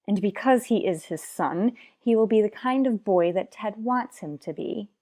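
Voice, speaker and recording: A woman playing an anguished, unhappily married mother in a radio soap opera, speaking earnestly with dramatic pauses. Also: mid-range voice, lively intonation, dark tone, lightly acted. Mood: troubled, pleading.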